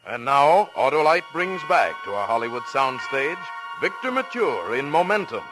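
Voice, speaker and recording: Animated 1940s radio announcer man. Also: mid-range voice, moderate pace, rough recording. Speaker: show announcer. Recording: vintage radio broadcast, music behind.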